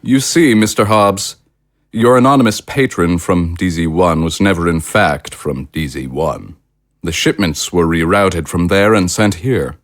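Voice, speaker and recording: An actor playing a suave, self-satisfied political leader in an audio drama, with pompous, elaborately polite diction. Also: male voice, low-pitched, measured pace. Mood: self-satisfied, persuasive. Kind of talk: theatrical audio drama acting.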